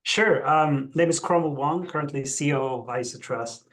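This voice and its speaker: A man's voice: a little high, moderate pace, a bit bright. A middle-aged man from the Philippines, a Tagalog speaker, talking conversationally as an interview guest. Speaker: interview guest, chief executive. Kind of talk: conversational personal account.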